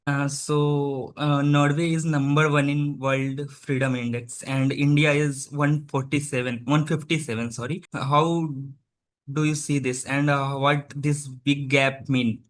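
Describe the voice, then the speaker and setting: A man's voice: fairly high pitch, little pitch movement, measured pace. A man hosting a video call interview, asking questions hesitantly with many uhs and simple phrasing. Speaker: interviewer host.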